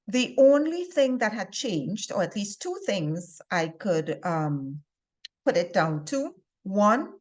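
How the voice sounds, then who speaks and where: A woman from Trinidad and Tobago with a Trinidadian accent gives an informal instructional talk to viewers as a health presenter, speaking deliberately and stressing key words. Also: mid-range voice. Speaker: health and wellness video presenter.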